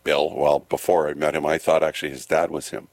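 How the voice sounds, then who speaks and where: A man's voice: a bass voice, level tone, a little fast, no room sound. A man hosting a morning radio show, chatting loosely with jokes and rambling asides. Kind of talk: casual morning show banter. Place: radio studio.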